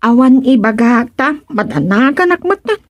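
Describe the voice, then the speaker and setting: An actress playing a plain-spoken village health worker in a Filipino radio comedy drama, with a Filipino accent. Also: female voice, on the high side, animated, normal speed, dry recording. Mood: concerned, guarded.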